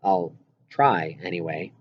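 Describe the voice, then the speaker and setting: A man's voice: sits low, lively intonation, takes it slowly, dry recording, muffled recording, voice pushed down, exaggerated voice. A man reading an audiobook as a hopeful young kid, earnest and a little unsure but determined. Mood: hesitant, confiding. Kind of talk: audiobook character dialogue.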